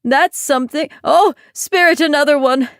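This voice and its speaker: A woman's voice: high-pitched, normal speed, no echo, studio quality, voice pushed up. A woman voicing a weary, worn-out uncle, tired and grim in manner.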